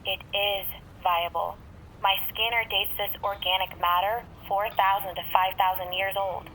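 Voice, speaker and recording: A woman playing a brisk, sardonic warrior-engineer in a fan audio drama, with a clipped, dry manner. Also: mid-range voice, little pitch movement, bright, forceful tone, a little hiss or hum, poor audio. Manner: clipped, dry, matter-of-fact.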